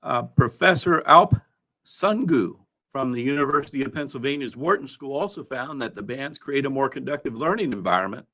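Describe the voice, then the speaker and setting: A man hosting a news countdown, part reading and part ad-libbing his commentary in a halting way, with wry asides. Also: medium pitch, lively intonation, pushed and bright, low-quality recording. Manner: halting, with frequent throat clearing and wry asides.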